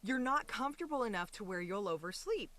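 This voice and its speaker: A woman chatting casually as an interview guest, expressive and giggly. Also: mid-range voice, brisk, over a noisy crowd. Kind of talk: casual chat.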